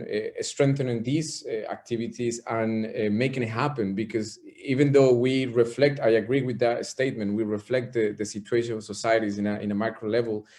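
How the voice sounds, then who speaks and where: A man's voice: mid-range voice, a little mellow. Polite man from Ecuador on a video call. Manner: polite, measured, hesitant with fillers. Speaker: doctoral researcher, audience participant. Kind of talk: academic discussion over video call.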